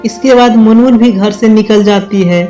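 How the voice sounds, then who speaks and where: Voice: mid-range voice, fairly even intonation, normal speed, dark tone. Cartoon story narrator over faint music. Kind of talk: scripted children's story narration.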